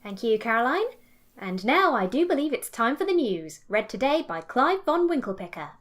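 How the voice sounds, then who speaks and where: A woman's voice: fairly high pitch, big pitch swings, normal speed, fairly bright tone. A woman playing an easily exasperated, sarcastic show host in an audio comedy. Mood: polite, presenting. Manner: sarcastic, indignant. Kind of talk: audio comedy sketch acting.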